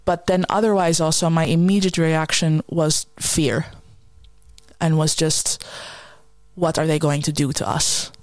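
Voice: medium pitch, fairly even intonation, measured pace, dry recording. A guest on a panel, telling an account earnestly and fluently. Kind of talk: panel discussion.

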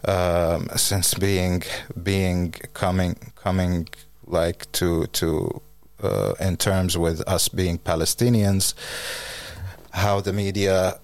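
A man's voice: low-pitched, fairly even intonation, takes it slowly. A man who grew up in Finland, a guest in a conversation, speaking hesitantly with many fillers. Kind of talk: casual conversation.

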